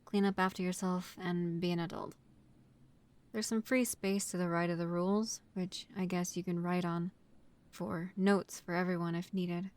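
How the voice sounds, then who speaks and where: A woman playing a young female vampire housemate in a roleplay audio, setting out rules in a halting, matter-of-fact way. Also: fairly low pitch, little pitch movement, a little mellow, lightly acted. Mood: firm, mildly exasperated. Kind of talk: roleplay audio acting, addressing the listener.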